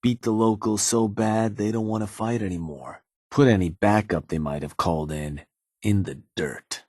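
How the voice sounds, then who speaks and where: An actor playing a sly, cynical gang advisor in a video game, with a husky, laid-back, slangy delivery and an undertone of menace. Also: male voice, moderate pace, darkish tone, dry recording, studio quality. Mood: casual, menacing. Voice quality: husky, low. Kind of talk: video game character dialogue.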